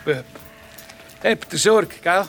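Old wandering pilgrim scholar, Swiss German, fairly high voice, patient and musing, children's radio play with music underneath. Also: male voice, animated, takes it slowly, a bit bright, exaggerated voice. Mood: tender, encouraging. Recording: music behind the voice.

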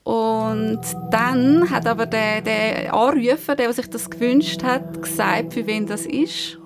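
A woman's voice: fairly high pitch, slow, over music. A woman with a Swiss German accent, telling anecdotes in a casual chat.

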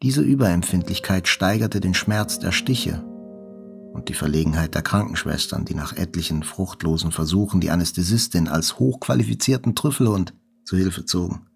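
A wounded journalist narrating his memories in a radio drama. The voice sits low and crackles with fry. The reading is reflective and literary, with faint music behind it. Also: normal speed, heavily acted. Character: wounded male journalist recalling his hospital ordeal, reflective. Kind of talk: scripted first-person literary narration.

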